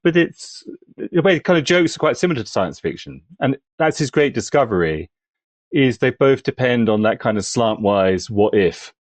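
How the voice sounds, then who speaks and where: Lively-pitched man, thoughtful and conversational, close dry sound. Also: normal speed, dry recording. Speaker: podcast panellist. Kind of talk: casual analytical chat.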